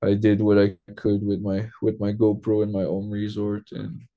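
Young guy from quebec, low flat voice, says like a lot, super casual. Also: normal speed, narrow, tinny audio. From Quebec, Canada. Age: young adult. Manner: loose, filler-heavy, lots of "like". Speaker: guest. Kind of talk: casual interview.

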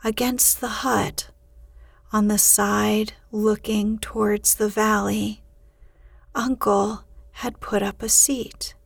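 A woman hosting and narrating a bedtime podcast in a soothing, unhurried, hushed way. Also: medium pitch, flat delivery, vocal fry, husky, no room sound. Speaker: podcast host and bedtime story reader. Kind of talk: slow sleep-podcast narration.

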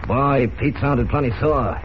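Guy playing nervy slangy crook henchman, average pitch, old radio serial w some hiss. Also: measured pace, natural voice. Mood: uneasy. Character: jittery, crooked male ship radio operator henchman. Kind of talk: 1940s radio drama acting. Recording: dull, noisy old radio recording.

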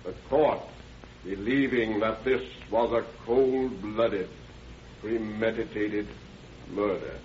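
A stern American judge in an old radio play, on the low side in pitch. He speaks slowly, with grave formality. The recording is dull and dated. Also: steady tone. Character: stern male judge pronouncing a harsh sentence. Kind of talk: 1930s American radio drama acting. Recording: muffled 1930s radio recording.

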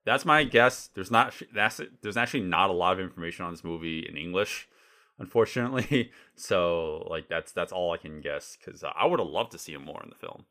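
A man with a low, bright voice and very lively intonation, a native Cantonese speaker. He talks casually about films, in a loose, rambling podcast manner. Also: a little fast. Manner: rambling, animated. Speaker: film discussion podcast speaker.

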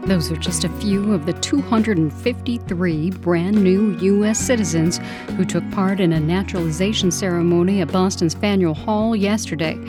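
A woman hosting a morning radio news program, reading scripted news in a measured, even way. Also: fairly low pitch, music in the background.

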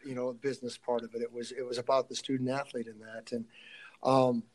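A middle-aged man, a strength coach being interviewed, talking casually and haltingly, often thinking aloud and trailing off. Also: medium pitch, flat delivery, low-quality recording.

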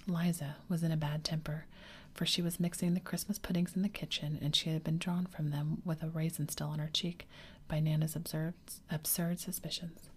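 Woman narrator, whispered ASMR storytelling, very low, gentle pacing. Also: flat delivery, husky. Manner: gentle, unhurried. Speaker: reader.

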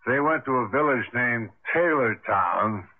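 An actor playing a skeptical, brusque official in a vintage radio drama. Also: male voice, medium pitch, animated, a little slow, slightly rough. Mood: dismissive, doubting. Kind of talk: 1970s-80s radio drama acting. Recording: narrow-band vintage radio broadcast.

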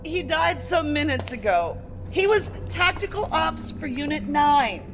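Brief: a trapped female federal agent, high and very expressive, anxious but placating, sci-fi radio drama. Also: measured pace. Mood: guarded, hurried. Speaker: actor in audio drama. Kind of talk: dramatic audio drama acting. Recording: loud dramatic music bed behind.

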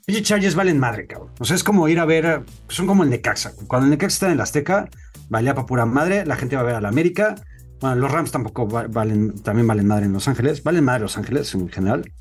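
A man's voice: mid-range voice, animated, quick pace, breathy edge, soft background music. A young Mexican man co-hosting a sports podcast, talking in a slangy, profane, opinionated way. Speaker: podcast co-host. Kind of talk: casual sports chat.

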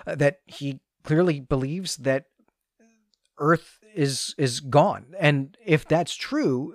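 Conversational man, halting, in a dry recording. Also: mid-range voice, no echo. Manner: halting, often repeats words mid-sentence. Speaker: podcast co-host. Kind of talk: conversational literary discussion and recap.

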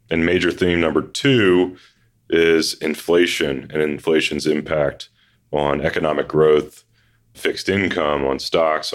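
A very deep-voiced man hosts a finance talk show with a hoarse throat. He lays out his reasoning in a steady, explanatory way. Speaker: podcast host. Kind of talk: conversational finance discussion.

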